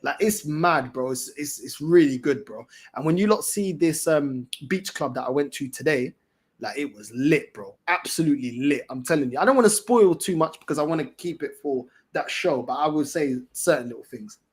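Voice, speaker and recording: Husky London man, chatting casually. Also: fairly high pitch, animated, moderate pace. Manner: slangy, repetitive, emphatic. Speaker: fan channel host. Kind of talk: casual livestream chat.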